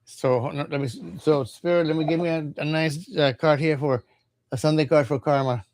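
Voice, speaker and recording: Halting man. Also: fairly high pitch, fairly even intonation, darkish tone. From Cuba. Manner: halting, repeats words often. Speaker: tarot reader. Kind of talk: casual livestream chat.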